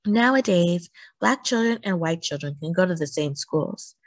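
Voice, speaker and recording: Woman reading aloud, with lively, swooping intonation. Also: fairly low pitch, normal speed, no echo. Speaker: children's book reader. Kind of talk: read-aloud storytelling for children.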